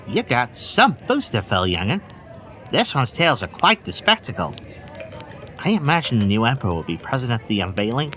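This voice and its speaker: A man's voice: medium pitch, sing-song, moderate pace, crowd noise, lightly acted. An actor playing a breezy, teasing male tavern keeper in a fantasy audio drama, talking in a jovial, colloquial way.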